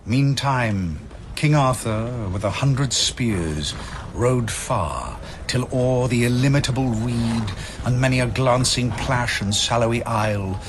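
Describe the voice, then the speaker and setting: Slow, solemn male verse narrator. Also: mid-range voice, noisy background. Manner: solemn, measured, literary. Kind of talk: scripted dramatic narration of archaic verse.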